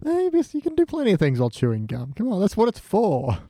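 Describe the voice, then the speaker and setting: A man's voice: high-pitched, huge pitch swings, fast talker, mellow, dry recording, clean studio sound. A man co-hosting a podcast in casual chat, with a joking, flippant manner. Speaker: podcast co-host.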